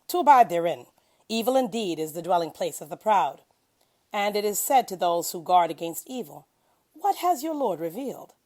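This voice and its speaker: A woman with a fairly low voice reads scripture aloud in a devotional style. Her delivery is solemn and declamatory, leaning firmly on key phrases. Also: dark tone. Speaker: scripture reader. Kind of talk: devotional read-aloud of Qur'an translation.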